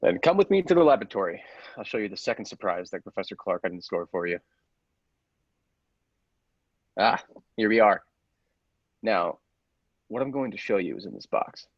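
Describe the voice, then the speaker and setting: A man playing a grave, persuasive scientist's assistant in a radio play, deliberate and theatrical, with secretive zeal. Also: fairly low pitch, sing-song, husky, no echo, muffled recording, heavily acted. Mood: intense, revealing. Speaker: podcast actor.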